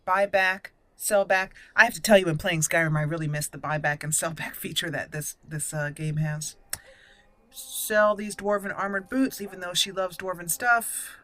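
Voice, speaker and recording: A woman's voice: fairly low pitch, fairly even intonation, moderate pace, a bit bright. A woman giving casual gameplay commentary, thinking aloud and reading item names out as she goes. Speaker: gaming channel host. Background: video game music.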